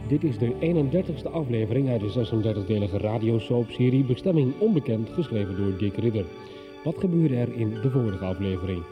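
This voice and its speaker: A man's voice: medium pitch, expressive, brisk. A man reading a scripted recap of earlier episodes as a radio announcer. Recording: dull lo-fi broadcast sound. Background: music.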